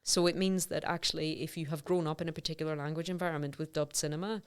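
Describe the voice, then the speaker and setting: A woman academic speaks in a notably low register, her voice smooth and resonant. She is middle-aged and explains her ideas conversationally, circling back with fillers and self-corrections as she thinks aloud. The whole thing sounds like an informal podcast interview. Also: steady tone, quick pace. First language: English. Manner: thoughtful, many fillers and self-restarts. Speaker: voice researcher, podcast guest.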